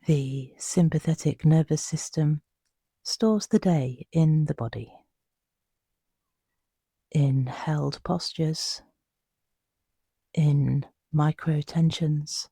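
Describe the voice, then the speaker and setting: A meditation guide with a soft, breathy voice, narrating a sleep meditation in a hushed, soothing, unhurried way with long pauses. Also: medium pitch, no room sound, clean studio sound.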